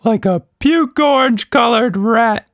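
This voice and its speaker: A man voicing an excitable, exclamatory male magical monster with many arms, in a children's story. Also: very high-pitched, a little slow, creaky, no room sound, studio quality, pitched above the performer's own voice, full character voice. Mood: exasperated. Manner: enthusiastic, exclamatory.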